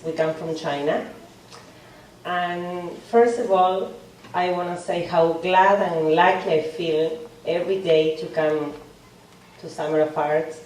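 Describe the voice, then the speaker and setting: A woman's voice: on the low side, darkish tone. A woman from Argentina, a native Spanish speaker, giving an informal personal speech in simple non-native English. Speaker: parent giving a testimonial. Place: large echoey hall. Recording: very echoey hall, distant, faint noise.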